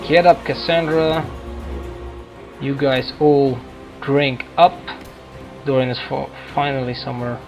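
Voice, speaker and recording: Unhurried man gaming over loud music. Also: a little high. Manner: thinking aloud, muttering to himself. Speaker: gamer, let's play host. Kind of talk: casual gameplay commentary. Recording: game music loud behind voice. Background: video game music.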